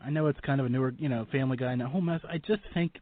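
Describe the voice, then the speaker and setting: A man's voice: medium pitch, rapid-fire, dry recording. A man hosting a casual interview, chatty and often repeating or interrupting himself. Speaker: talk show host, interviewer. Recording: dry, narrow phone-like sound.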